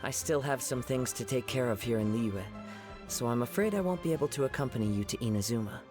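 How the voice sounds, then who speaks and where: A man voicing a gentle, poetic young wandering samurai in an anime game, calm, soft-spoken and courteous. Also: medium pitch, fast, lightly acted. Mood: serene. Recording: game music behind.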